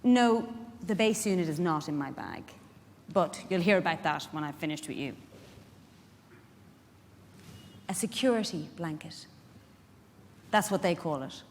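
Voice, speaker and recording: A woman's voice: on the low side, animated, moderate pace, darkish tone, a little hiss or hum. A woman with an Irish accent performing a live dramatic monologue as a feisty, protective care worker, in a conversational, wry manner. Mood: explaining, tender. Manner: conversational, wry, rhetorical questions to the audience. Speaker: actor in a dramatic reading. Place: large indoor event hall. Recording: echoey hall, live stage reading.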